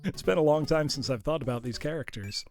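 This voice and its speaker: Casting: male game streamer, slightly higher voice, congested and tired, chatty with a teacher-like jokey manner, game music faint behind. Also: fast talker, a little mellow. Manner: chatty, jokey, calls viewers "class". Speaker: streamer playing retro games. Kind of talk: casual live-stream chat.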